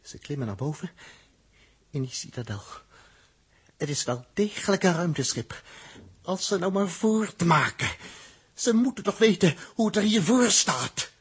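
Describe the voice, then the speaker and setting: An urgent, husky space adventurer. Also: male voice, high-pitched, big pitch swings, a little slow, exaggerated voice. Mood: tense. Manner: urgent, commanding, tense. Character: resourceful male space traveller leading a rescue. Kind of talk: 1960s radio play acting.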